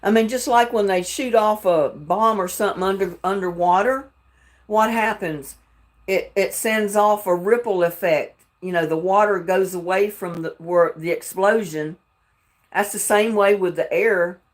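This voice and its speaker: A woman's voice: mid-range voice, measured pace. An older woman from North Carolina with a Southern drawl that carries some Texas, chatting casually with viewers on a livestream and chuckling often. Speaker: livestream host. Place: home in Texas.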